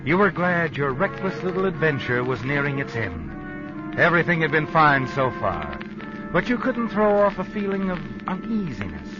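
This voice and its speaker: Animated, ominous old-time radio narrator over music. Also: male voice, a little high, normal speed. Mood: ominous, suspenseful. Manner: sardonic, dramatic second-person storytelling. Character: knowing, ominous male narrator addressing the heroine. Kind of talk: 1950s American radio suspense narration. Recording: muffled 1950s radio recording.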